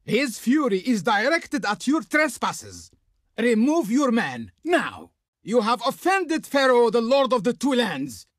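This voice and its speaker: Casting: haughty ancient Egyptian court envoy in a strategy game; high voice, swooping delivery, flowery and pompous. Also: male voice, no echo, studio quality, exaggerated voice. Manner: pompous, declamatory. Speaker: game voice actor playing a diplomat. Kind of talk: theatrical scripted game dialogue.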